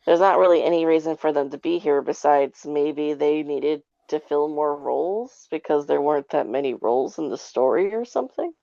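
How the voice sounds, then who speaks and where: A woman's voice: deep voice, normal speed, creaky, hardly any pauses, dark tone, dry recording, lo-fi sound. A woman giving her take in a casual, rambling review chat with an informal way of talking. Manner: rambling, informal, frequent 'like'. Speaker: podcast guest reviewer.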